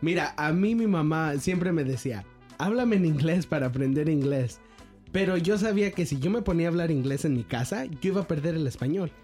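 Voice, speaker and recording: Male co-host with a Mexican accent, fairly high voice but dark and mellow, opinionated and emphatic. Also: animated, a little fast, soft background music. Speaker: podcast co-host. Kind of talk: casual chat.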